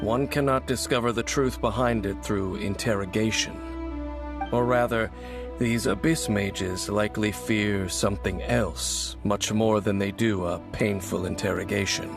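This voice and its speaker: An actor playing a mysterious, reserved warrior in a video game, grave and gravelly, speaking in a solemn, formal, measured way. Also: male voice, steady tone. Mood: grave, analytical. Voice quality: low, gravelly. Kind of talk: dramatic video game character acting. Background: background music.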